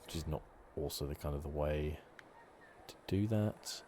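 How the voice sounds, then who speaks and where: Very deep, soft-spoken man, noisy backdrop. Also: normal speed. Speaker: gaming channel host. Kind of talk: ASMR video game commentary. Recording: clear background noise. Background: game ambience.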